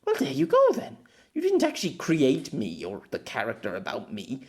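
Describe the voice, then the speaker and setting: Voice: medium pitch, wildly swooping pitch, moderate pace. A performer voicing a proud young warrior mage girl who speaks formally and insistently in an audiobook story. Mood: prideful.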